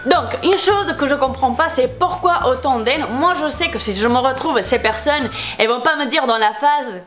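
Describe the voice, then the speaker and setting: A woman's voice: high-pitched, normal speed, fairly bright tone, faint music behind. A young woman from Spain with a Spanish accent, speaking French as a beauty vlogger, heated and repetitive as she answers comments. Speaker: beauty YouTuber. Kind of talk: casual vlog, replying to comments.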